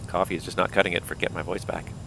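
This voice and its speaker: A deep-voiced man talks casually through a flight, reading out checklists and thinking aloud as he goes. His voice is wearing thin, and a steady engine rumble runs under him. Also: racing, gravelly. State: losing his voice. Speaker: flight simulator pilot. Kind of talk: casual cockpit commentary.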